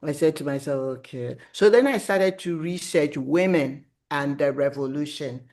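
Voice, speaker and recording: A middle-aged woman talks as an author being interviewed. Her delivery is conversational and animated, with a reflective way of recounting her own thoughts. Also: deep voice, normal speed. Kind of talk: casual conversational storytelling about writing.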